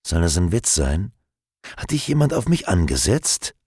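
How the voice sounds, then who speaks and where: A man's voice: deep voice, big pitch swings, moderate pace, a little mellow, slightly rough, no room sound, studio quality, exaggerated voice. A man reading an audiobook and voicing a smug, self-assured young male model who talks in a casual, slightly condescending way. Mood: incredulous.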